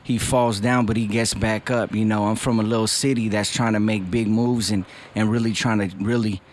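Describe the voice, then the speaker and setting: A man's voice: lowish voice, level tone, normal speed, slight background noise. Earnest man speaking frankly in an interview. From West Covina, California. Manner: earnest and reflective, sprinkles in "bro" and "dog". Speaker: rapper guest. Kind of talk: candid interview talk.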